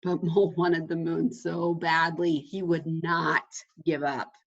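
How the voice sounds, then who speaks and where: A woman reading a children's storybook aloud in an animated, dramatic way, with a husky voice. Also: low voice, takes it slowly, muffled recording.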